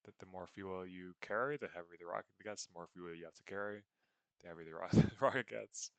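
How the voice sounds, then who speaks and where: A young man co-hosting a casual podcast, easygoing and quick to laugh. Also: low voice, gravelly, fairly bright tone, clear, resonant tone, no echo. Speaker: podcast co-host. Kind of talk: casual chat.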